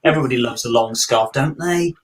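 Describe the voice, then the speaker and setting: A middle-aged British man chatting casually and excitably on a podcast panel, often repeating his words. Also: mid-range voice, brisk. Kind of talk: enthusiastic casual chat.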